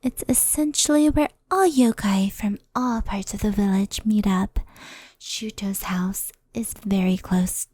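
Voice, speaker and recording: A woman playing a gentle, anxious, centuries-old fox spirit in an ASMR roleplay, speaking softly and often whispering close to the microphone. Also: mid-range voice, no echo. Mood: calm. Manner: soft, breathy, often whispered, unhurried.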